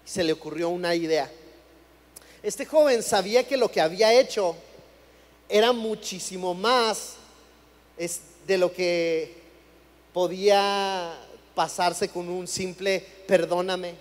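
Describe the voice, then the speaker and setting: A man's voice: high voice, slow pace, pauses often. A young man from Chihuahua, Mexico, preaching a sermon in a church in a conversational way, often repeating words as he starts a phrase. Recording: long hall reverb.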